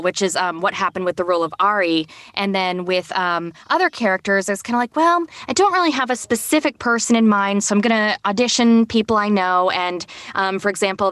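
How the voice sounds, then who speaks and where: A woman's voice: mid-range voice, fairly bright tone, no echo, studio quality. A woman voice actor explaining things conversationally in a casual panel chat. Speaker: voice actor and casting director.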